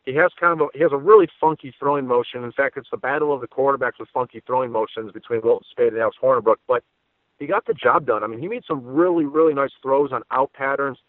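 A man's voice: medium pitch, fast talker, dry recording. A man giving conversational sports analysis as a guest, talkative and repeating words as his thoughts form. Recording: tinny phone-line audio.

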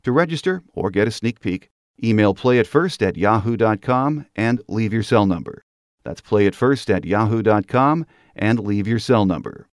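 Low-pitched man hosting a sports podcast. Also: expressive, moderate pace, no echo, clean studio sound. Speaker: podcast host. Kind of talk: sports podcast monologue, partly scripted.

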